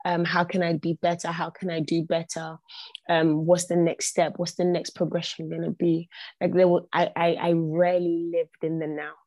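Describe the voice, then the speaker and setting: Woman guest, English not her first language, earnest, repeats herself for emphasis, mellow dark tone, dry room. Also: fairly low pitch, level tone, moderate pace, dry recording. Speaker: podcast guest. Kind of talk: reflective podcast conversation.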